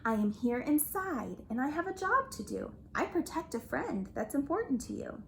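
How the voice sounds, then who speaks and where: A woman yelling as Mad, a hot-tempered feeling creature. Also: high voice, big pitch swings, normal speed, creaky, a little hiss or hum, heavily acted. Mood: calmed. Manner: yelling, defiant.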